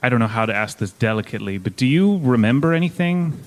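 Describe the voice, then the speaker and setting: A man playing a formal, analytical investigator, wordy and measured, with an awkwardly delicate manner. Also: medium pitch, animated, natural voice.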